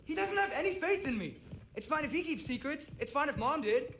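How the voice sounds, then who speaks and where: A man's voice: extremely high pitch, very animated, a little fast, a bit bright, a little hiss or hum, heavily acted. A young male actor playing a headstrong, petulant teenage son in a video game, with an American accent. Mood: argumentative, pleading. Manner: petulant, argumentative.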